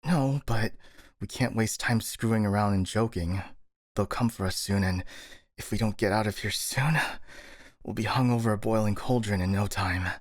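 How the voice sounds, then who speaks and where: A man's voice: lowish voice, moderate pace, no echo, clean studio sound, close to an everyday voice. A man playing a worried, exasperated master ninja in an audio roleplay, with a wry, scolding, grumbling manner. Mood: worried, urgent.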